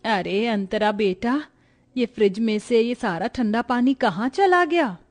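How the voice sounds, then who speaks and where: A woman's voice: on the high side, animated, a little slow. A woman playing a puzzled middle-aged mother-in-law in a cartoon family story. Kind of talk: cartoon moral story dialogue.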